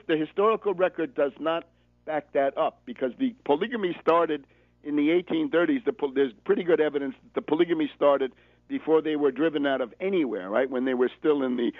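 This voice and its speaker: A man's voice: fairly high pitch, moderate pace, no room sound. An American man giving political radio commentary like a lecture, didactic and emphatic, hammering his points with insistent repetition. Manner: didactic, often checks with "right?". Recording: narrow phone-like radio audio.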